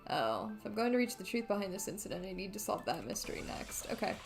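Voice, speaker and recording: Female let's play host, casual, thinking aloud, expressive pitch, faint music underneath. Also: fast talker, vocal fry. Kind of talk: casual game commentary.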